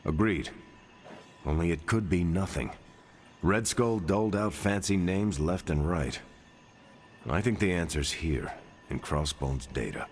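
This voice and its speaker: An actor playing a dutiful soldier and team leader in a video game cutscene, speaking in a calm, measured way. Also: male voice, low voice, soft background music. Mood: thoughtful. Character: steady, dutiful male soldier-hero leader.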